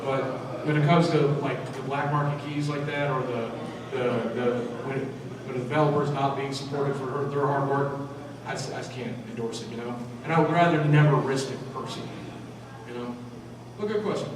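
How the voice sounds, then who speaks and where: A man's voice: medium pitch, far from the mic. Man in an echoey convention hall. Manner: energetic, talks straight to the crowd with quick restarts. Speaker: panel guest speaker. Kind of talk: convention panel talk to a live audience. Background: hall ambience noise.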